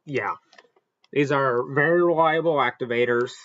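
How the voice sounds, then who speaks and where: A man's voice: mid-range voice, moderate pace, fairly bright tone, dry recording, lo-fi sound. A man giving casual, off-the-cuff commentary as he hosts a product ranking. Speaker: host ranking weather radios.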